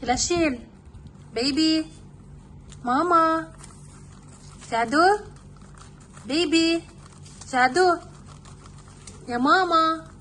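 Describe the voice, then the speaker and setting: Lebanese mom, casual home craft vlogger, fairly high voice over faint noise. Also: female voice, slow, long pauses, a bit bright. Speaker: mother making kids' craft videos. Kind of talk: casual homemade craft demonstration, talking to her baby.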